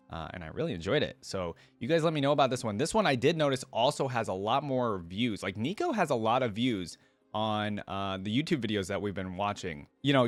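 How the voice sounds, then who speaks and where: A man's voice: fairly low pitch, dry recording. A man hosting a reaction video, commenting in a casual, slangy and exclamatory way.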